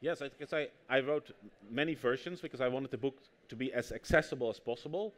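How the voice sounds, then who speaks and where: A man's voice: medium pitch, fairly quick. A man with a Dutch accent, a native Dutch speaker, telling anecdotes as an author being interviewed at a public talk. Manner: lively anecdotal storytelling, acts out quotes. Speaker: author and anthropologist guest. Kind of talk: conversational interview on stage.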